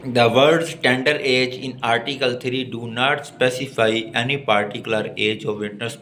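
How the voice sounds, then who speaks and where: A man with a Pakistani accent teaching law, reading legal text aloud deliberately and explaining it as a lecturer. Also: mid-range voice, fairly bright tone.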